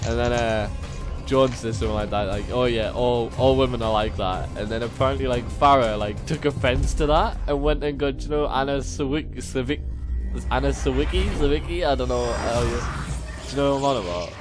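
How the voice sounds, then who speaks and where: Young man, British accent, casual storytelling, informal slang, bantering, clear music in background. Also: mid-range voice, fairly quick. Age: young adult. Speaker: video host telling stories. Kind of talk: casual chat, anecdotes between friends. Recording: music behind the voice.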